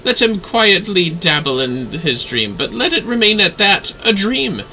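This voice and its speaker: A man voicing a formal, dutiful manservant swordsman in a fantasy game, speaking in a courtly, earnest, measured manner. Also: sits high, big pitch swings, sharp tone, slight background noise, full character voice, low-quality recording. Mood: earnest, worried.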